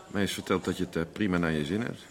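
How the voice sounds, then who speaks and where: A weary, deep, gravelly husband. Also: male voice, racing, crowd noise. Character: weary, curt husband, evasive, patiently placating. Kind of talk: Dutch radio play acting.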